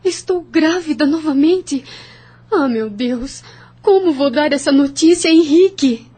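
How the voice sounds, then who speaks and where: A woman playing a gentle, loving young wife in a Brazilian audio drama, with a Brazilian accent. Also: sits high, expressive, a little slow. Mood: anxious. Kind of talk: radio novela acting.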